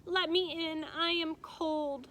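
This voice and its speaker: A woman's voice: extremely high pitch, steady tone, slow, bright, forceful tone, a little hiss or hum, voice pushed up, heavily acted. A woman voicing a haughty young fairy-tale princess, pleading and demanding in an imperious manner.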